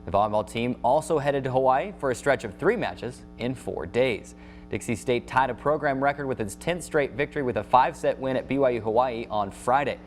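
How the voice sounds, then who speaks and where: An American man hosting a sports show, reading a scripted recap of scores and highlights as an announcer. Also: a little fast, soft background music.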